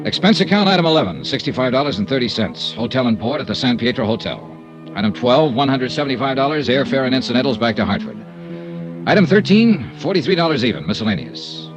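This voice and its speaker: An actor playing a hard-boiled insurance investigator, clipped and firm, in a 1950s radio drama with narration. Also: male voice, medium pitch, big pitch swings, fast, highs cut off, over music, lightly acted. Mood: narrating.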